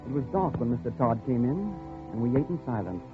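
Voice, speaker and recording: An actor playing an uneasy, polite man who tells his story in a measured, reflective way in a 1940s radio drama. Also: mid-range voice, big pitch swings, phone-quality sound, lightly acted. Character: uneasy, polite male visitor narrating his captivity. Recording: thin old radio recording with music.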